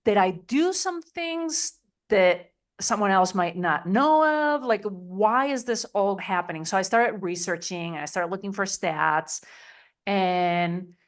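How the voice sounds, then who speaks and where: A woman's voice: medium pitch, expressive, takes it slowly. A middle-aged woman from Spain, a podcast guest talking in a chatty way with many "like" and "you know" fillers. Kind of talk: interview conversation.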